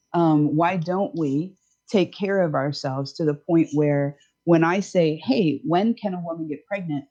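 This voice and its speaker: A woman's voice: low-pitched, mellow, highs cut off. A woman in her fifties, a sex educator, explaining things frankly and easily in a casual podcast chat. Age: 50s.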